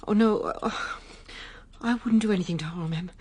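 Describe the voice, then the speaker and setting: A woman's voice: mid-range voice, moderate pace, darkish tone, a little hiss or hum, natural voice. An actress playing a timid, earnest married woman in a radio drama, hesitant, deferential and easily flustered. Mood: flustered, distressed.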